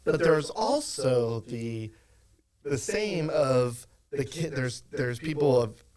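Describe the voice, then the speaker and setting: A man from South Louisiana hosting a podcast, talking casually in a rambling, halting way. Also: mid-range voice, animated, darkish tone. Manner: rambling, halting talk with restarts. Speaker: podcast host.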